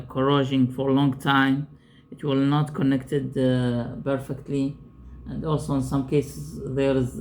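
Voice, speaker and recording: A man who is not a native English speaker gives an unscripted hands-on repair tutorial, haltingly, repeating himself as he searches for words. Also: medium pitch, a little mellow.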